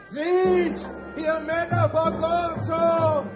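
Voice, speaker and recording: Crazed high priest, super high shrieky chant, echoey w loud music. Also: male voice, flat delivery, very slow, heavily acted. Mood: fanatical proclaiming. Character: raging, fanatical Tibetan high priest, chanting. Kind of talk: radio play acting. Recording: echoing, loud music behind.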